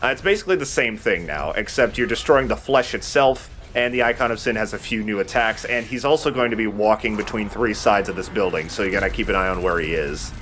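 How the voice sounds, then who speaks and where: A man's voice: lowish voice, fairly quick, few pauses, a bit bright, a little hiss or hum. A man giving casual commentary as he plays a video game, chatting and swearing lightly. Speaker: let's play host playing the game. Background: video game sound.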